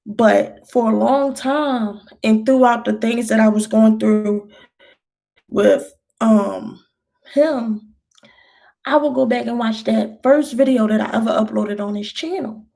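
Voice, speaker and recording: A woman's voice: on the high side, takes it slowly, creaky, mellow. A thirty-year-old woman vlogger answering viewer questions in a loose, rambling, encouraging way, with slang. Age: 30s. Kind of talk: casual chat.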